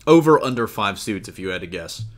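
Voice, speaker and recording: A man's voice: on the low side, expressive, fairly quick. A man hosting a casual entertainment news discussion in a conversational way, putting questions to his co-hosts. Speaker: podcast host.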